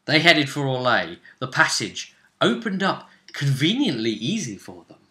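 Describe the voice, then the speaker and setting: A man telling the story of a tabletop role-playing session as a host, in a casual, halting way, acting out bits of dialogue as he goes. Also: mid-range voice, very animated, sharp tone.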